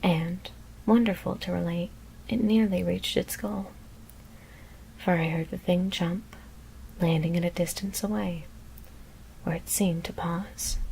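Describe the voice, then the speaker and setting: A woman whispers a scary story in a hushed, deliberate ASMR narration. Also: on the low side, steady tone, creaky, slight background noise, poor audio.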